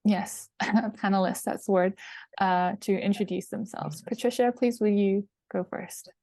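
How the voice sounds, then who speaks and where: Gravelly-voiced woman interviewer. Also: medium pitch, steady tone, unhurried, mellow, no room sound, studio quality. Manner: polite, slightly hesitant. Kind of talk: formal interview hosting.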